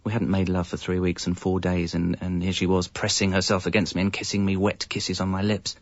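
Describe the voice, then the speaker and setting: A low-voiced husband and young father tells his story for a radio comedy. He is wry, ironic and deadpan, as if confessing. The sound is close and dry but slightly muffled. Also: brisk, no echo. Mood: deadpan, confessional. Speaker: narrator and lead character. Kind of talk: scripted comic radio monologue. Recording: muffled, band-limited audio.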